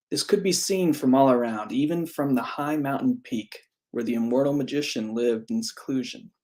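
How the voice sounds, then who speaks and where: A man narrates his own storybook tale. His voice sits at an average male pitch as he reads aloud as the author. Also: mellow.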